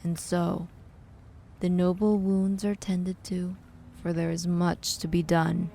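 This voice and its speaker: A woman playing a solemn, ominous storyteller, narrating a dark audio drama in a measured, foreboding and sometimes hushed way. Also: lowish voice, little pitch movement, creaky, a little hiss or hum.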